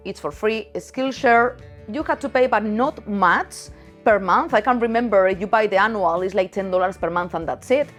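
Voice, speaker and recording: Frank Spanish-accented woman in her thirties, chatty vlogger who laughs easily, faint music behind. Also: medium pitch. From Spain. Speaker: photography youtuber. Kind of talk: casual direct-to-camera talk.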